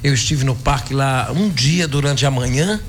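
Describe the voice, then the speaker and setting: A hoarse man with a Brazilian accent hosting an evening radio show, chatting and giving his opinions and often tagging "né". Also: mid-range voice, moderate pace, slight background noise. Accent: Brazilian Portuguese. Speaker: radio host. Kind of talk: casual radio chat. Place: radio studio. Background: music bed.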